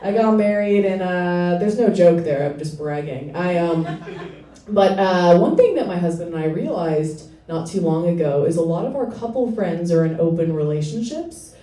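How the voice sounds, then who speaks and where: A young woman with a deep voice doing a self-deprecating stand-up set, with hesitant fillers and dry delivery. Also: animated, normal speed, dark tone. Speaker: stand-up comedian. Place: small comedy club stage with laughing audience. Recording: echoey club, audience laughter, from a distance.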